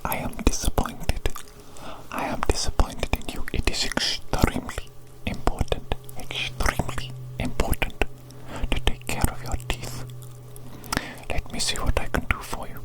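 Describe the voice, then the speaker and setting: A woman performing a whispered ASMR roleplay as an evil doctor who dotes on and scolds a monster creation, coaxing and repeating phrases. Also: rough recording. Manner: slow, repetitive, coaxing delivery.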